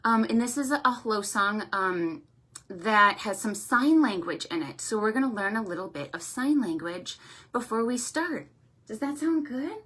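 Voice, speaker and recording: A woman hosting a storytime for young children, with an animated, sing-song, encouraging way of talking, full of check-in questions and affectionate thanks. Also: on the high side, normal speed, breathy edge. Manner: affectionate, effusive greetings and thanks. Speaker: children's library storytime host.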